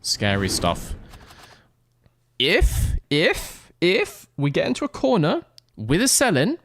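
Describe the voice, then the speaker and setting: A male streamer narrating a racing game live, playful and quick to joke with his viewers. His pitch swings widely as he talks. The sound is close and dry. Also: wildly swooping pitch, a bit bright, no room sound. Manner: playful, jokey, talks to chat. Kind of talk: live gameplay commentary.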